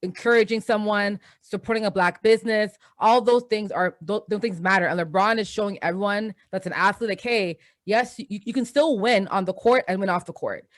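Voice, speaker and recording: A woman's voice: mid-range voice, fairly quick, few pauses, no echo. Upbeat Trinidadian woman co-host. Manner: chatty and upbeat, many fillers. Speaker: podcast co-host. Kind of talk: casual podcast chat.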